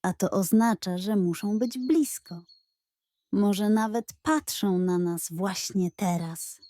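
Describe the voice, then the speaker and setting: A woman's voice: mid-range voice, slow, mellow, dry recording, natural voice. A woman voicing a brave, decisive male Wild West sheriff in a bedtime audio story, talking in a commanding, urgent way. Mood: grave.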